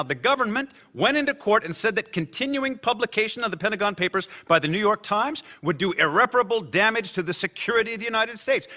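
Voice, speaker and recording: An actor playing a cautious lawyer in a radio drama, arguing his legal points in a measured way. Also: high-pitched, sharp tone. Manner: argumentative, lays out legal points.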